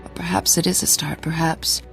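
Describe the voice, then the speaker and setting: Proud, low-voiced vengeful warrior woman. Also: flat delivery, moderate pace, gravelly, soft background music. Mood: solemn, resolved. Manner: formal, solemn, intense phrasing. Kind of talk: dramatic video game acting.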